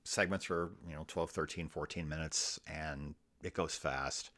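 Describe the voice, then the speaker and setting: Radio host guy from iowa, really low voice, smooth practiced on-air patter, dry close sound. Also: fairly even intonation, a little slow, bright, forceful tone, dry recording. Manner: smooth, practiced broadcast delivery with plugs and sign-offs. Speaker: radio talk show host. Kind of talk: conversational radio interview.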